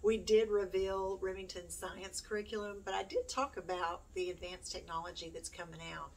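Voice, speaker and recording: A woman's voice: mid-range voice, moderate pace. A middle-aged woman chatting casually to camera in a rambling, self-deprecating way. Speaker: homeschooling mom, YouTube host. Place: small room at home, indoors. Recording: distant mic, noisy room.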